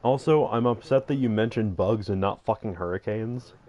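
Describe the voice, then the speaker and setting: A man's voice: lowish voice, moderate pace, a little mellow. A man streaming a game with friends, joking loosely and swearing casually. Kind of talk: casual gaming stream chat.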